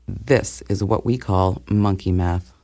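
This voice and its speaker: A man narrating scripted folktales for learners in a clearly phrased storytelling way. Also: low-pitched, fairly even intonation, slow pace, a little mellow, no room sound.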